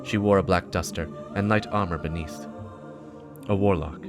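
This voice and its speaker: A man's voice: low voice, fairly even intonation, normal speed. A man reading scripted dramatic lore narration as a storyteller and channel host, with music behind him.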